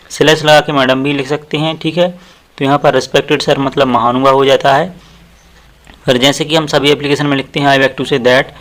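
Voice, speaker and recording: A man's voice: mid-range voice, a bit bright. A man with an Indian accent teaching a lesson step by step, explaining and repeating phrases as he goes. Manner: explanatory, repeats phrases, slows down for quoted sentences. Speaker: tutorial teacher.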